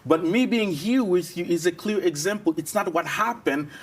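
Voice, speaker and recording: A man from Haiti with a high voice, a guest on a morning television interview. He speaks earnestly and encouragingly, laying out advice point by point. His is not English. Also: moderate pace, breathy edge.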